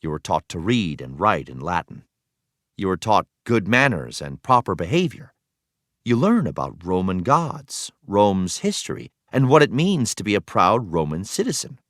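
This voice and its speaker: Male educational narrator, deep register, animated read-aloud delivery for young learners, dry close sound. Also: normal speed, no echo. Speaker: teacher reading aloud. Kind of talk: read-aloud narration of a children's history text.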